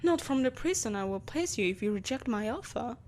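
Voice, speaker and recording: A woman's voice: a little high, lively intonation, fairly quick, a little mellow, slight background noise, lightly acted. A woman playing an imperious, seductive evil empress in a scripted roleplay audio drama, speaking in a haughty, theatrical, coaxing manner. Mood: menacing, grandiose. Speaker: voice actor.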